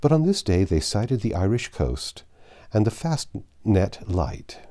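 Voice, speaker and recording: A man narrating a classic adventure story aloud in an expressive storybook style. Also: fairly low pitch, takes it slowly. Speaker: audiobook narrator.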